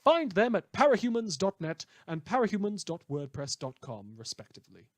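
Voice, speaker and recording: A man's voice: a little high, no echo. A man who makes a fan podcast, reading out credits and thanks to listeners and helpers.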